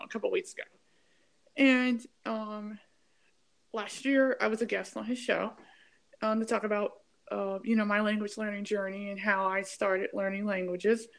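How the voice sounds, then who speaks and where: A woman's voice: medium pitch, vocal fry. A woman hosting an interview, chatty and emphatic, sharing her opinions with a ranting edge. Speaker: podcast host. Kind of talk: casual interview chat.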